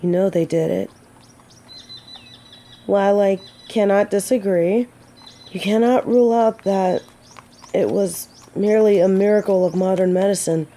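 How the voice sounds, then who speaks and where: A woman's voice: mid-range voice, unhurried, long pauses, warm tone, close to an everyday voice. A woman playing a gallant, teasing yet earnest female knight in a romantic fantasy audio drama, with formal, old-fashioned phrasing. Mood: concerned, sincere.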